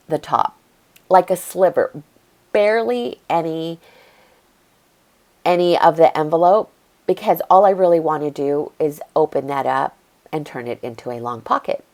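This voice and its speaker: Low-voiced congested woman crafting. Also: darkish tone. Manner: chatty, easygoing, explains as she works. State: congested from allergies. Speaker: craft video host. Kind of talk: step-by-step craft tutorial voice-over.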